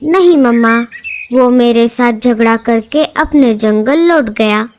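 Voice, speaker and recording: A woman's voice: fairly high pitch, slow pace, a little mellow, slight background noise. A woman voicing a small bird child in a cartoon, with a plaintive, childlike way of talking. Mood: complaining. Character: small whiny bird child complaining to mother. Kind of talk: Hindi children's cartoon dubbing.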